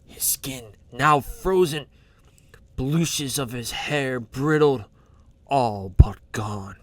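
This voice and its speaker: Slow, dramatic male narrator. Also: medium pitch.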